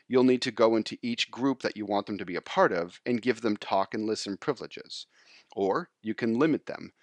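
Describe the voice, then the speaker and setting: A man explaining software step by step in an informal screencast tutorial voice-over. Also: on the low side, dry recording, clean studio sound.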